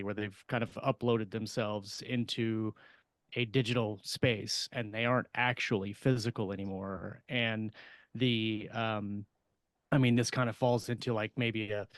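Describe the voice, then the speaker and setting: A man co-hosting a podcast in casual discussion, with a halting, hesitant delivery. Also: lowish voice, fairly even intonation, dry recording. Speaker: podcast co-host.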